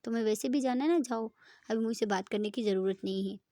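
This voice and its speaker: A woman's voice: a little high, fast, no room sound, studio quality, natural voice. Woman acting out a sulky, clingy young woman. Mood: angry. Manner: loud scolding, then pleading.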